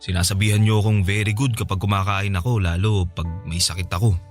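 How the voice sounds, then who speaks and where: A devoted adult son played by a man speaks in a fairly low voice. He is gentle, respectful and coaxing, in radio drama style with light music underneath. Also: fairly even intonation, fairly quick, natural voice. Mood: affectionate, teasing. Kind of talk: Tagalog radio drama acting.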